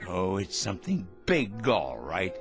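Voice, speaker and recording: An actor playing a pompous, paranoid megalomaniac in a radio drama, with a theatrical, feverishly excitable, ranting manner. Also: male voice, deep voice, unhurried, soft background music, exaggerated voice. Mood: urgent, self-important.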